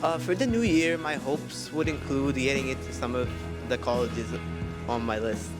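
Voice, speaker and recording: Teenage boy talking over loud music. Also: mid-range voice, moderate pace. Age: teens. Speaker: student interviewee. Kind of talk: casual talk to camera.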